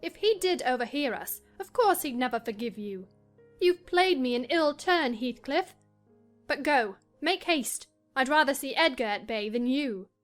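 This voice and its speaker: A high, theatrical voice of a passionate young married gentlewoman, self-dramatising and agitated. It is a dramatized period novel, with faint music underneath. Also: lively intonation, a little slow. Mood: angry, urgent. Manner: theatrical, distressed. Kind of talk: dramatized classic novel reading.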